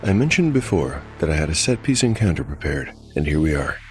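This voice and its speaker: A man narrating a scripted dark fantasy tale as game master, in a measured storytelling manner. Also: a bass voice, sing-song. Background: music.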